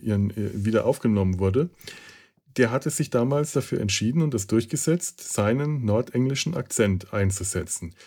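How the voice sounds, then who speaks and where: A German man talks through his opinions in a casual podcast review. He stumbles, restarts words and fills pauses with hesitations. His voice sits at an ordinary middle pitch for a man. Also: animated, darkish tone. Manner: halting, many restarts and fillers. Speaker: podcast host.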